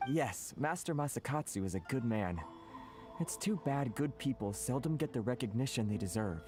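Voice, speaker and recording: A man's voice: mid-range voice, expressive, moderate pace, close to an everyday voice. A man voicing a kindly, sympathetic townsman in a video game. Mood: earnest, regretful. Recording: game music behind the voice.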